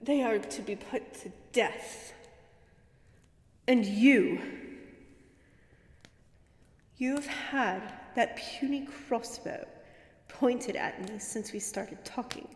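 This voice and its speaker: A woman playing a haughty female vampire noble in an audio roleplay, menacing and seductive, imperious and teasing, with breathy whispered drops. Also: on the high side, takes it slowly, a little mellow. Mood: indignant, menacing. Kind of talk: dramatic audio roleplay acting. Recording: echoey hall-like reverb.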